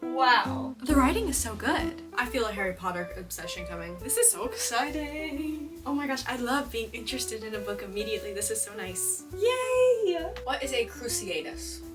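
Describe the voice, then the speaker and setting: A young adult American woman chatting casually in a reading vlog, with an expressive, gushing fangirl delivery and frequent use of "like". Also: on the high side, normal speed, hardly any pauses, over music. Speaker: book vlogger.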